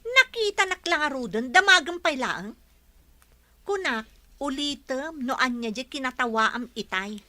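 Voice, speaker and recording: A woman's voice: sits high, lively intonation, normal speed, bright, forceful tone, dry recording. A woman playing a suspicious, demanding fiancée and employer in a radio drama, with a Filipino Ilocano accent and an interrogating manner. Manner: interrogating, imperious.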